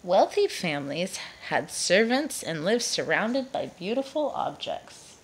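A woman's voice: mid-range voice, sing-song, unhurried, slight background noise, low-quality recording. Woman reading a picture book aloud. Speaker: storyteller reading to a child.

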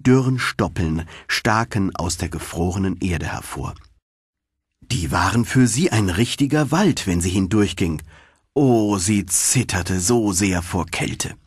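A man narrating a fairy tale for children as an audiobook, in an expressive, dramatic storytelling manner. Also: medium pitch, husky, dry recording, clean studio sound.

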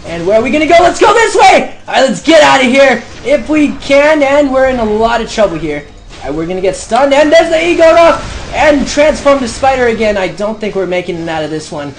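A young man giving excited live gameplay commentary, shouting during fights and talking himself through moves, his voice going hoarse. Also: extremely high pitch, a little fast, a little hiss or hum, poor audio. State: losing his voice.

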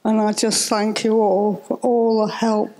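A woman in her late eighties giving a halting, reflective personal testimony in an interview on a church stage. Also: mid-range voice, level tone, dark tone, rough recording. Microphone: handheld microphone held close.

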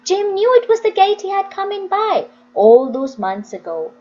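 A woman reading a story aloud as a storyteller, with deliberate, dramatic phrasing. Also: extremely high pitch, very animated, darkish tone, highs cut off. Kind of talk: expressive scripted audiobook reading.

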